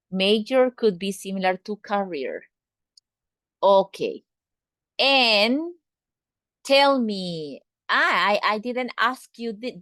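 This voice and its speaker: A woman's voice: medium pitch, fairly bright tone, dry recording. A woman teaching an English class, patiently asking students questions and repeating words to drill pronunciation. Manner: asks students direct questions.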